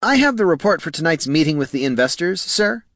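A man voicing a timid, deferential fantasy clerk with a squeaky, anxiously formal manner in an audiobook. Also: big pitch swings, a little fast, fairly bright tone, no room sound, clean studio sound. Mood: anxious, dutiful. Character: nervous, deferential male Scribkin financial clerk.

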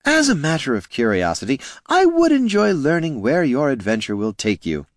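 A man's voice: mid-range voice, huge pitch swings, normal speed, dry recording, studio quality, pitched above the performer's own voice. A man voicing a sly, smug male gnome who talks with oily, mock-polite courtesy.

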